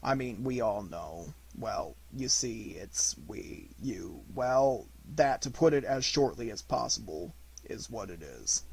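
A man voicing a gloomy, self-important old donkey in a children's storybook, halting and pompous, muttering asides. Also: on the low side, expressive, gravelly, darkish tone, husky, full character voice, rough recording. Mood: flustered.

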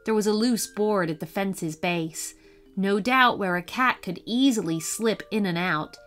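A woman narrating a children's bedtime story in an expressive storytelling manner. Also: mid-range voice, measured pace, soft background music. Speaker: storyteller and channel host.

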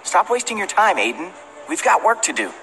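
Confident, conciliatory young male rival for a game, fairly high, animated, music behind. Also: brisk, exaggerated voice, rough recording. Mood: impatient. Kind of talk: animated video game voice acting.

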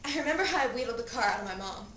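A woman's voice: fairly high pitch, animated, racing, natural voice. A young woman performing a dramatic monologue as an anguished, pleading seventeen-year-old girl who has died, with theatrical delivery. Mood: wistful. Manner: theatrical, swinging from hushed telling to desperate cries. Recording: echoey room, distant mic, clear noise.

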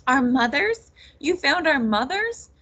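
A woman voicing a steady, injured witch in a storybook, plain-spoken and hesitant. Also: sits high, slow pace, pitched above the performer's own voice, heavily acted. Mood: startled.

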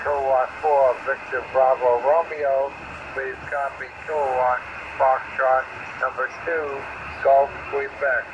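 A man's voice: mid-range voice, little pitch movement, measured pace, dull audio. A man making amateur radio calls with phonetic spelling, heard over shortwave radio. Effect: shortwave radio reception. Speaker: amateur radio operator on air. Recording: noisy shortwave radio from a speaker.

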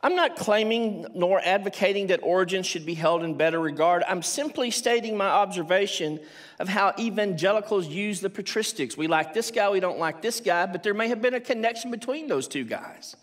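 A man's voice: high voice, animated, a little fast. Older man lecturing from a podium. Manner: conversational lecturing with asides and self-questioning. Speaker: theology professor giving a conference talk. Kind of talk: academic lecture at a church conference. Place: stage of a large auditorium with a seated audience. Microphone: podium microphone.

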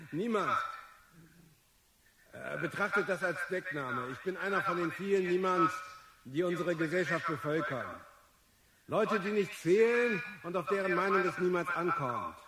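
An actor playing an impassioned young male student activist, making a declamatory, defiant speech in a radio drama. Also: high-pitched. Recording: echoey hall with crowd behind.

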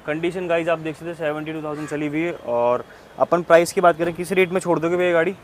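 A man's voice: a little high, fairly even intonation, fast talker. A man hosting a casual walkthrough, deferentially putting questions to a seller and repeating details back for viewers. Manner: repeats answers back, deferential, addresses listeners. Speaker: vlog host interviewing a car dealer. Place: open-air used car lot. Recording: outdoor lot with clear ambient noise. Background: outdoor ambient noise.

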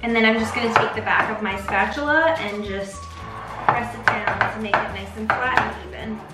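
A woman's voice: medium pitch, moderate pace. A young North American woman chatting enthusiastically to camera as she hosts a casual cooking tutorial in her home kitchen. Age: young adult. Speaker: baker hosting a recipe video. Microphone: camera mic a few feet away. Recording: roomy, distant camera sound with music.

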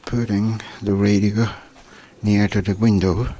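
A man from Chile with a Chilean Spanish accent, narrating an informal radio demonstration in a halting way on a handheld camera. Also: on the low side, level tone, dark tone, husky. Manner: halting, with many pauses. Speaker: amateur radio operator and vlogger. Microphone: handheld camera's built-in microphone. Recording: noisy handheld camera audio.

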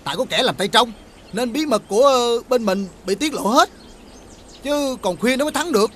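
An actor with a Southern Vietnamese accent playing a haughty, blustering marquis in a fairy-tale costume film, theatrical and halting. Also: male voice, extremely high pitch, expressive, fairly bright tone, slight background noise. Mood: sulky. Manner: theatrical, halting with drawn-out hesitations. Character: haughty, blustering male nobleman marquis, sore loser.

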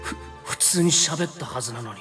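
A man's voice: medium pitch, normal speed, close to an everyday voice. An actor playing an easygoing young male time traveler in an anime game, stammering and flustered, with casual yet nervously polite speech. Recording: long reverb over background music.

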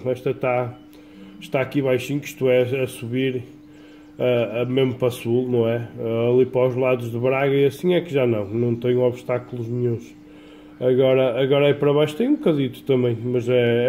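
Male radio hobbyist, soft dark tone, colloquial chat, light noise behind. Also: medium pitch. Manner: colloquial, folksy. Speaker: amateur CB radio operator. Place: small home radio shack. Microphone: phone filming the radio, off-camera speaker. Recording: clear background noise, home-made phone recording.

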